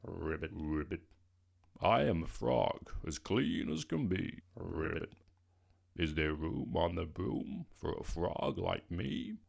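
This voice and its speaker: A man voicing a polite male pond frog with a croaky voice. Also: sits low, big pitch swings, slow pace, a little mellow, voice pushed down, heavily acted. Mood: eager.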